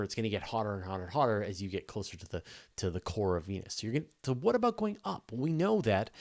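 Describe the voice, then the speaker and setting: A man hosting a casual live question-and-answer session, explaining things step by step in an enthusiastic, conversational way. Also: fairly low pitch. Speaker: host of a live astronomy Q&A.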